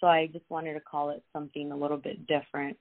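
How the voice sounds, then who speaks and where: A woman's voice: low voice, level tone, fast, vocal fry. Young woman on a tinny phone line. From Los Angeles, USA. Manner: chatty, many fillers and restarts. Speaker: podcast guest, social media creator. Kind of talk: casual interview chat.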